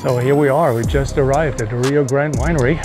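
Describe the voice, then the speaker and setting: A middle-aged man casually narrating a travel vlog, relaxed and unhurried, with many fillers, from a truck and an outdoor winery patio. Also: mid-range voice. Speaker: vlogger. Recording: loud music and crowd behind. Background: live music and crowd chatter at the winery.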